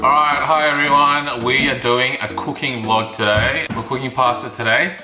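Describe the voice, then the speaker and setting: A man's voice: mid-range voice, fairly even intonation, normal speed. A man in his thirties with an Australian accent, chatting casually through a home cooking vlog and joking with his kids as he explains each step. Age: 30s. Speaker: family vlogger. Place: home kitchen, indoors. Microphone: handheld camera at arm's length. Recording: roomy kitchen with background music.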